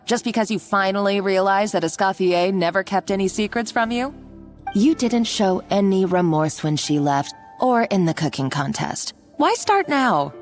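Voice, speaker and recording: A woman's voice: medium pitch, lightly acted. Woman playing a scornful scheming villainess. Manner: mocking, condescending. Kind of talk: video game voice acting.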